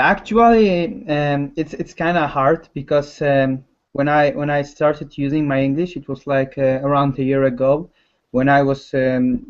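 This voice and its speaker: A man with a Polish accent, a concept artist speaking English as a second language in a casual chat, halting and often restarting his words. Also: medium pitch, clear, resonant tone, lo-fi sound.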